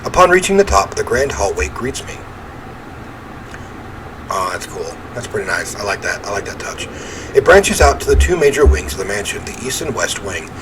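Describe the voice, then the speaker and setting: A man reading a first-person horror game story aloud in an expressive, theatrical way. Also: fairly low pitch. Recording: clear ambient noise behind voice.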